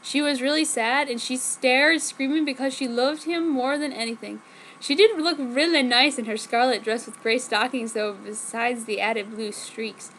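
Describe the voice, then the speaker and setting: A woman's voice: sits high, moderate pace, a bit bright, a little hiss or hum, poor audio. A woman giving a theatrical, comic dramatic reading of fan fiction, delivering misspelled words exactly as written. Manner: theatrical, reads misspellings literally.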